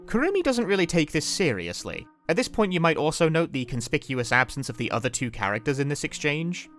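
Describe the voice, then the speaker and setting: A man's voice: fairly high pitch, very animated, fairly quick, fairly bright tone, soft background music. A man narrating a scripted video essay as its host, with wry, dry humor and casual asides.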